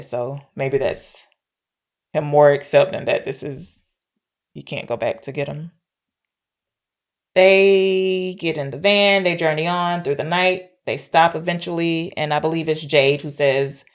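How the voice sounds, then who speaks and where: A woman co-hosting a podcast, casually retelling a film's story and thinking aloud as she goes. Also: deep voice. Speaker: podcast co-host. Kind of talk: casual film discussion, retelling the plot.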